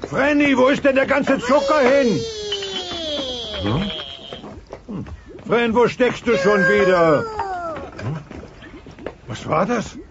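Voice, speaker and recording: A man's voice: high-pitched, sing-song, takes it slowly, creaky, long pauses, music in the background, full character voice. A man voicing a grumpy, blustering dinosaur father in a cartoon audio play. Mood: irritated. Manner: blustering, exasperated. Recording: dull cassette transfer, busy background.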